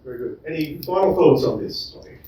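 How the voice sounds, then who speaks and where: A man hosts a panel in a very reverberant room. His voice is mellow and relaxed, picked up from some way off the mic as he puts questions out to the room. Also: a little high, a little fast. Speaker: panel host. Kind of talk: hosting a live panel discussion with an audience. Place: live event room with audience. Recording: very echoey room, distant mic, faint noise.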